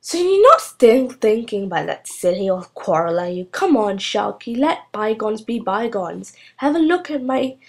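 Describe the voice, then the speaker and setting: A woman's voice: medium pitch, sing-song, moderate pace, creaky, hardly any pauses. A woman voicing a slovenly, eager-to-please witch in a storybook, with a fussing, over-friendly, exclamatory manner. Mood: coaxing, fussing.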